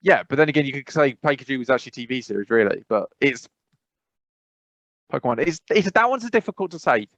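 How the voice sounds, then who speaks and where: An English man chatting on a podcast, rambling through his thoughts and stressing words by repeating them. His voice sits at an ordinary pitch. The recording is dry and a little muffled. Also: quick pace, no echo. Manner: rambling, animated, emphatic repetition. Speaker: podcast co-host. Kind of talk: casual chat.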